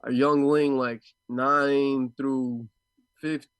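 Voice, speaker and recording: A man's voice: mid-range voice, little pitch movement, slow pace, a bit bright, no echo. A man from Ecuador and Houston speaking casually and reflectively as an interview guest, a martial arts fighter who searches for words and often repeats them. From Ecuador and Houston, USA.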